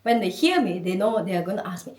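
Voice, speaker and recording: A woman from Malaysia with a Malaysian accent, chatting as a guest in an interview, animated and often laughing. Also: mid-range voice, fast talker. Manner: animated, laughing, chatty with many "you know"s. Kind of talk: casual interview. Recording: echoey room, mic at a distance.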